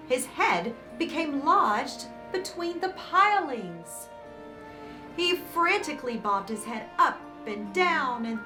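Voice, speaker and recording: A woman reading a picture book aloud to children as host, with dramatic emphasis and warm, encouraging asides. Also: high-pitched. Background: background music.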